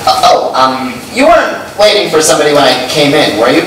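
Young man played by an actor, awkward, eager, hesitant, animated stage comedy, distant roomy recording. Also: on the high side, quick pace. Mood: chatty, hopeful. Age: young adult. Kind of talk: live stage comedy acting. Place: theatre stage. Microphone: far camera. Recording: echoing stage, distant mic, clear noise.

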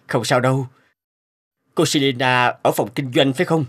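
An actor voicing a smooth, courteous male company director in a cartoon, speaking gently and suavely. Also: medium pitch, unhurried. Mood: easygoing. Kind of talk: animated cartoon dialogue. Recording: loud piano music under the voice.

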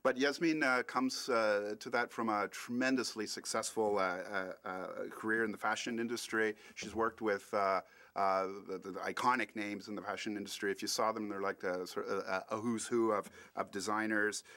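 A man's voice: on the low side, fairly even intonation, moderate pace, sharp tone. A man emceeing an event, introducing the next speaker in a hesitant way, with many fillers.

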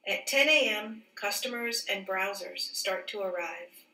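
Bright-voiced woman reading aloud, roomy sound. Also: medium pitch, unhurried. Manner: careful, clearly phrased, teacherly delivery. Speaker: teacher reading a picture book aloud. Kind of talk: expressive children's read-aloud narration. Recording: roomy echo, distant microphone.

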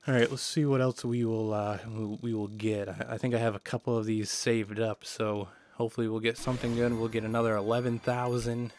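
A man's voice: mid-range voice, flat delivery, a little fast, hardly any pauses. A young man with a scratchy throat from a cold, chatting casually with lots of fillers while he plays a racing game. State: hoarse from a cold. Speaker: gaming YouTuber. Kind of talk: casual let's play commentary. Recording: voice over loud game car noise.

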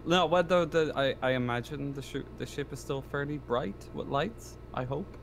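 A man's voice: mid-range voice, moderate pace, gravelly, a little hiss or hum. Hesitant, sweary male tabletop gamer. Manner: hesitant, repeating himself, sweary. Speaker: player in a tabletop roleplaying game. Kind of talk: casual game chat.

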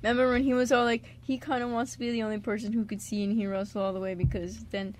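A woman's voice: fairly high pitch, flat delivery, fast talker, darkish tone. A woman bantering casually with friends on a radio show. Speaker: radio show cast member. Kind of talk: casual group chat.

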